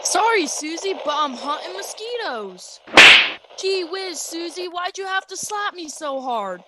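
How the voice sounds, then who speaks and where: A mischievous little boy acted by a woman whines and exclaims in a very high, swooping voice. It sounds like an old radio sponsor skit, buried in heavy noise. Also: very animated, measured pace, heavily acted. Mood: whiny. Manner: whining, exclamatory. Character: whiny, mischievous young boy hunting mosquitoes.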